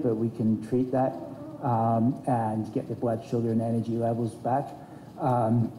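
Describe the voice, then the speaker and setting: A man answering a question on a conference panel. He explains in a measured way, with frequent fillers, and his voice is dark. The sound carries in a reverberant hall with faint background noise. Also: medium pitch.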